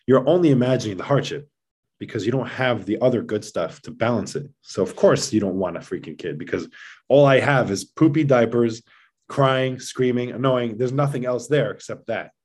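A man's voice: medium pitch, normal speed, a little mellow, slightly rough. A man speaking as a podcast guest in casual conversation, explaining things in an earnest, emphatic way. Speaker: podcast guest, father of seven.

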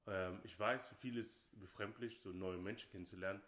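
Brief: man, lowish voice, opinionated podcast co-host, repeats phrases, echoey room. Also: steady tone, brisk, fairly bright tone. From Nigeria. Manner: emphatic, repeats phrases, often says "okay, hey". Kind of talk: casual opinionated chat. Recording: roomy echo.

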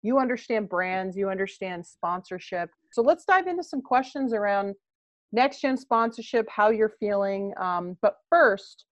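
A woman's voice: medium pitch, lively intonation, measured pace, dry recording, highs cut off. A woman hosting a podcast interview, chatty and loose, leaning on fillers as she frames her questions. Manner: chatty, many fillers and "obviously". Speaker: podcast host.

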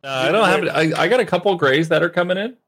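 A man's voice: high voice, racing, a bit bright. A man in his late thirties, laid-back and easygoing in a casual podcast chat. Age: 30s.

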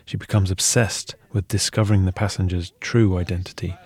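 A man's voice: deep voice, little pitch movement, brisk, a little mellow. A man co-hosting a story podcast, reading scripted documentary narration. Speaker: podcast co-host.

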